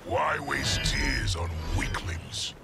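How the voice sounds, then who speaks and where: An actor playing a brutal, arrogant warlord emperor in a video game, with a menacing, commanding, grandiose manner. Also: male voice, medium pitch, lively intonation, slow, creaky, over loud music, full character voice. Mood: contemptuous. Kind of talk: video game cutscene acting.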